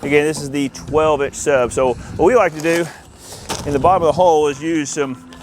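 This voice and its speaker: A man hosting a casual hands-on walkthrough outdoors, explaining things informally as he goes. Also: brisk. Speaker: host of an installer's video channel. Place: outdoors in a yard. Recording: outdoor noise, ambient rumble.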